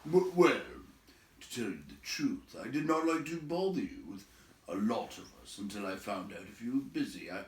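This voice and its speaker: A man voicing a courteous, cautious old storybook wizard who speaks politely and haltingly. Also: medium pitch, expressive, natural voice. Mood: cautious, apologetic. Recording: echoey room, distant from mic.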